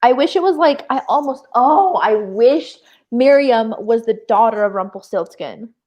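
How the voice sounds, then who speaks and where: A woman with a fairly high voice talks casually as a co-host of a live book show. She is animated and emphatic, phrasing things in a loose, chatty way with plenty of "like". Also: unhurried, rough recording.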